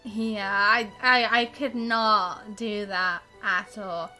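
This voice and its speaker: A woman's voice: mid-range voice, fairly even intonation, takes it slowly, pushed and bright, faint music behind. A young British woman chatting casually on a game live stream, girlish and chatty with sing-song emphasis.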